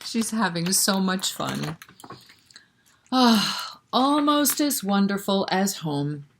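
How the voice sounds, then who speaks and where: A woman's voice: mid-range voice, big pitch swings, slow, a little hiss or hum. A woman leading a children's story time, reading a picture book expressively and chatting to the children with questions and encouragement. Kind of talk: animated picture-book read-aloud with asides to children.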